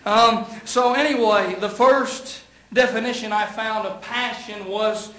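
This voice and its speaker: High-pitched young Kentucky preacher man. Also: fairly even intonation, a little slow, fairly bright tone. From western Kentucky, United States. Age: young adult. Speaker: student preacher. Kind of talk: sermon with folksy anecdotes. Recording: echoey room.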